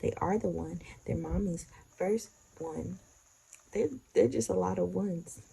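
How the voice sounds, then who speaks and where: A woman with a low, husky voice and a gravelly creak running through it. She talks in a loose, chatty way, full of "like", as she films at home. Now and then she turns and speaks gently to the baby she is carrying. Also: unhurried, poor audio. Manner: chatty, leans on "like" a lot; talks softly to her unborn baby. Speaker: vlogger, expectant mother. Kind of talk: casual home vlog chat.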